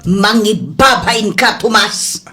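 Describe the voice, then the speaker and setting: A woman's voice: medium pitch, lively intonation, slow pace, pushed and bright, faint music behind. A woman playing a protective, exasperated mother of a grown son in a comedy drama, with an Ilocano accent. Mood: reproachful.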